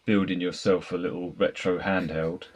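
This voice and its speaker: A man's voice: deep voice, little pitch movement, normal speed. A man speaking as a podcast guest in a casual, conversational discussion. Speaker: podcast guest, co-host of a gaming podcast.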